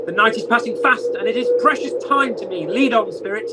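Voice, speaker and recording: A man's voice: sits high, fairly quick. A man playing an elderly, humbled miser with formal, theatrical delivery in an amateur radio play. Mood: apprehensive. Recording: noisy amateur video call audio.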